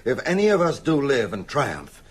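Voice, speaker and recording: An actor playing an ailing old vampire-hunting mentor in radio drama, solemn and urgent, with a husky voice. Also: male voice, medium pitch, huge pitch swings, normal speed. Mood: grave, urgent. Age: older.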